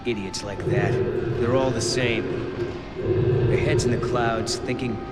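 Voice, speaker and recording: A man's voice: mid-range voice, fairly even intonation, a little slow, lightly acted. A man playing a brash, blunt young adventurer in a video game, talking casually and a little impatiently. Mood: bitter, frustrated. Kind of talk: dramatic video game acting. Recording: echoey hall, loud music behind. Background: loud music.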